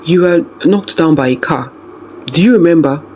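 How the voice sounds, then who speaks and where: A storyteller voicing a gentle, sympathetic male doctor, calm and hesitant, in a folktale. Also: mid-range voice, moderate pace, a little hiss or hum, close to an everyday voice.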